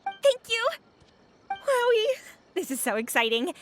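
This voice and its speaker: A woman's voice: very high voice, very animated, unhurried, music in the background, heavily acted. An actress voicing a bubbly, eager young woman in a video game, gushing and exclamatory. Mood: thrilled.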